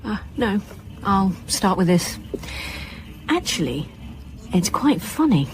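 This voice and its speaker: A woman playing a wry, brisk police inspector in a naturalistic radio drama, with a dry manner. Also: mid-range voice, big pitch swings, slight background noise. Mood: wry, thoughtful. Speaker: actor in an audio drama.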